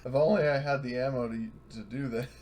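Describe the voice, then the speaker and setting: A man with a medium-pitched voice talks casually as a player in a tabletop game. He riffs jokily and swears freely. The home voice-chat recording is clearly noisy. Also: steady tone, fairly quick. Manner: sweary, riffing with pop-culture comparisons.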